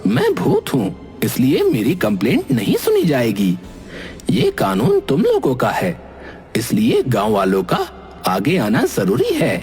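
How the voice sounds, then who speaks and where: Man as cartoon ghost, indignant, assertive lecturing, very animated intonation, long reverb. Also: fairly high pitch, a little slow, gravelly, husky, heavily acted. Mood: commanding. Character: Bholu, indignant ghost defending wild forest animals. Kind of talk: Hindi cartoon voice acting. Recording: long hall reverb, faint music behind.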